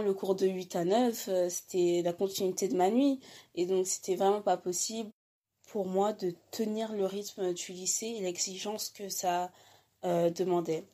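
A teenage girl, a high school student, telling her own story haltingly with many fillers and restarts. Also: mellow. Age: teens. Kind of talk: personal testimony.

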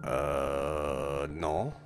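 A man's voice: very deep voice, level tone, extremely slow, music in the background. A man co-hosting a podcast, rambling casually through the sign-off with lots of fillers and restarts. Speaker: podcast co-host.

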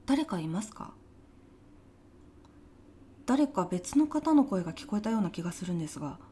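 A woman's voice: medium pitch, sing-song, normal speed, warm tone, slight background noise, lightly acted. A woman playing a polite but easily exasperated veteran job interviewer in an audio comedy sketch, speaking formal business Japanese. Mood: polite, composed.

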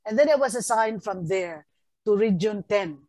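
A woman in her sixties with a Filipino accent, recalling her long career in measured, hesitant speech. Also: mid-range voice, lively intonation, a little mellow, no echo. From Philippines, Mindanao. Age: 60s. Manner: measured, hesitant with many fillers. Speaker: retired education official, guest. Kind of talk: reflective interview answers.

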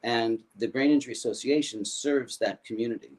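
An older American man telling a personal story in a halting way, often repeating and restarting his words. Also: mid-range voice, darkish tone. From United States. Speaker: foundation founder.

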